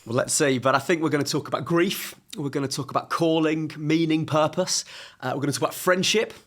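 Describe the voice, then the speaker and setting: A man in his thirties from England, a podcast guest telling his life story in a lively, self-mocking way. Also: on the high side, brisk. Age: 30s. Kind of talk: casual interview.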